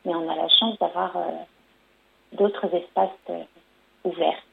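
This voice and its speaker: A woman's voice: on the low side, steady tone, a little slow, vocal fry, husky. A woman storyteller answering interview questions, hesitant, with many fillers and restarts. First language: French. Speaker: storyteller and performer. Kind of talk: radio interview. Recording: phone-line audio.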